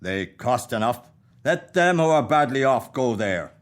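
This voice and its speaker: A cold, penny-pinching old man, voiced by an Irish-accented actor, snaps out curt, dismissive replies. His voice sits at an ordinary middle pitch but carries a gruff edge throughout this radio play. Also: big pitch swings, measured pace. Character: miserly, grumpy old male moneylender, cold and curt.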